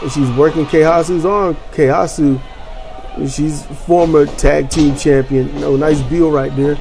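A man hosting and commentating on simulated wrestling matches, energetic and rambling with frequent restarts. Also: fairly high pitch, a little slow, gravelly, soft background music. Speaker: host and commentator. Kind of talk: video game wrestling commentary.

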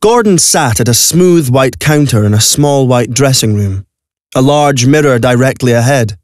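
A man's voice: mid-range voice, animated, moderate pace, a little mellow, no echo, studio quality. A man narrating a scripted audio drama as a storyteller. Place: recording studio. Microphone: close studio microphone.

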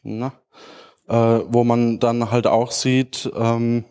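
A man in his thirties with a medium-pitched voice speaks informally as a presenter on an auditorium stage. He searches for his phrasing as he answers questions, holding a handheld microphone. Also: flat delivery, takes it slowly, dark tone, smooth. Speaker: conference speaker.

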